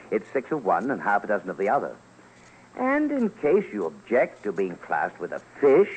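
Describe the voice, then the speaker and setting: A man's voice: on the low side, a little hiss or hum. A man delivering dramatic, scripted radio documentary commentary, theatrical and playful, addressing listeners directly. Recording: dull vintage radio, loud music behind.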